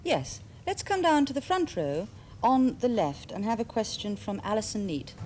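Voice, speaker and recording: A woman presenting a television program, reading measured narration and putting questions to a guest. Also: fairly high pitch, sing-song, a little mellow. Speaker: host. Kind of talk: scripted documentary narration and studio interview. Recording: faint noise, 1970s television broadcast.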